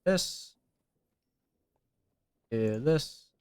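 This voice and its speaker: A man's voice: mid-range voice, animated, extremely slow, clean tone, no room sound, clean studio sound. A young man streaming a game, chatting casually and rambling as he thinks aloud, with a youthful-sounding voice. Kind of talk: casual gameplay commentary.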